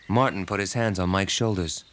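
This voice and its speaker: A man's voice: deep voice, brisk, fairly bright tone. A man narrating a dramatic story from a script. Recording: music behind the voice.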